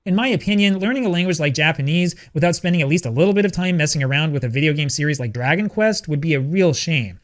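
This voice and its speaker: Fast-talking earnest man giving advice. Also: a little high, lively intonation. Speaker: language-learning YouTuber. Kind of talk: scripted video essay.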